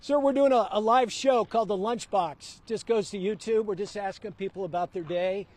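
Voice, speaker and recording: Man, TV anchor, high voice, chatty banter, outdoor street interview. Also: normal speed, a little mellow. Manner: chatty, jokey banter with strangers. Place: outdoors at a city waterfront park.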